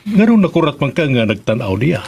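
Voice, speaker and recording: An actor playing a gentle, grieving widowed master of the house in a Filipino radio drama, halting and sentimental, with a Visayan accent. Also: male voice, a little high, very animated, gravelly, breathy edge, dull audio, full character voice. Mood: wistful. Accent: Visayan Philippine.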